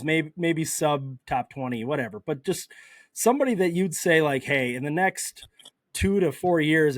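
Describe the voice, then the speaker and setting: A man's voice: fairly high pitch, no echo. A man hosting a casual podcast, rambling and repeating words as he sets up questions for his guest. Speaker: podcast host.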